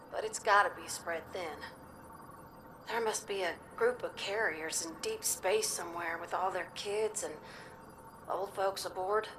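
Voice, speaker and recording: A woman's voice: medium pitch, noisy background, lightly acted. A woman playing a plainspoken pilot, giving a folksy, matter-of-fact scouting report in a video game. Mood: thoughtful, sympathetic.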